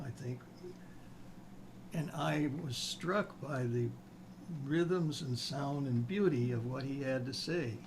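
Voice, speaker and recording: Male host, very slow talker, rambles with fillers; noisy background. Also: mid-range voice. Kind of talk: casual panel discussion.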